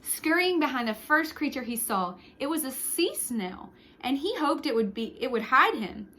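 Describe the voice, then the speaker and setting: A woman reading a picture book aloud to young children, expressively, with short hushed asides to the listeners. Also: high voice, moderate pace, slight background noise. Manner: expressive, with hushed whispered sound words and asides. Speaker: storytime reader for young children.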